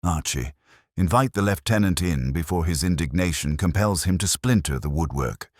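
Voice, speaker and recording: A man voicing an imperious genius detective in an audiobook, deep, composed, formal and pedantic. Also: expressive, normal speed, no echo, clean studio sound. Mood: composed, dry. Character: imperious, dignified male genius detective, coolly immovable.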